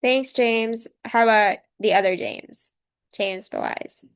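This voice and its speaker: A woman's voice: fairly high pitch, slow, clear, resonant tone. A woman coordinating an online group meeting, casually leading everyone through introductions over a video call. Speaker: program coordinator.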